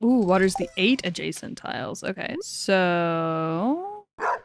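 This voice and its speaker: A woman's voice: fairly low pitch, big pitch swings, slow pace, slight background noise. A woman in her twenties chatting casually on a game stream, laughing easily, swearing, and muttering to herself as she works things out. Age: 20s. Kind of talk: casual gaming stream chat.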